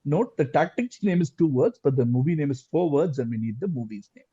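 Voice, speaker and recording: A man hosting a quiz live, reading questions aloud deliberately and repeating answers and phrases, over lo-fi online call audio. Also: on the high side, lively intonation, dark tone, husky, dry recording. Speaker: quizmaster.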